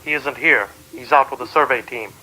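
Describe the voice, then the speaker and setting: A man acts out a strained male radio operator at a remote planetary outpost. The delivery is sharp, clipped and urgent, coming through a radio filter with faint music behind it in a science-fiction radio play. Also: mid-range voice, fairly quick, poor audio. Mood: clipped, official. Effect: radio transmission filter. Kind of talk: 1950s science-fiction radio drama acting.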